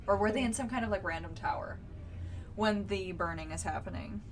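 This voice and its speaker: A woman's voice: mid-range voice, a little fast, slight background noise. A woman co-hosting a book podcast, recapping casually in slangy, informal language and swearing freely. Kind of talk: casual read-along book discussion.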